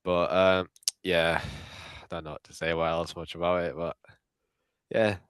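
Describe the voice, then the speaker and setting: A man's voice: low-pitched, flat delivery, a little slow, smooth, no echo. A man from England, co-hosting a football podcast, blunt and opinionated, laughing often. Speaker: podcast co-host. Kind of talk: casual podcast chat.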